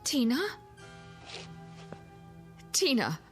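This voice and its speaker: A woman's voice: a little high, big pitch swings, extremely slow, a bit bright, faint music behind, full character voice. An actress playing a distraught mother in a radio drama, with an anguished, pleading delivery. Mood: panicked. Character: distraught mother searching for her missing daughter.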